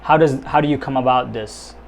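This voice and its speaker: A man's voice: medium pitch, a little fast, creaky, a little hiss or hum. Young male host, loose and friendly. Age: young adult. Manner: friendly, loose phrasing with fillers like "kinda" and "you know". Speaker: interview host. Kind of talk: casual interview hosting. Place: small studio room with plain wall.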